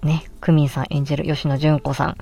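A woman with a fairly deep voice talks casually as a solo podcast host. She is animated and emphatic in how she reacts to her own points. Soft music plays behind her close-miked speech. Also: a little fast, vocal fry, muffled recording. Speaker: podcast host and amateur voice actress. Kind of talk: casual solo chat looking back on an audio drama.

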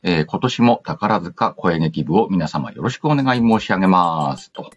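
A man's voice: low voice, expressive, normal speed, lo-fi sound. A man hosting a casual radio-style show, reading out letters and announcements with playful asides. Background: background music.

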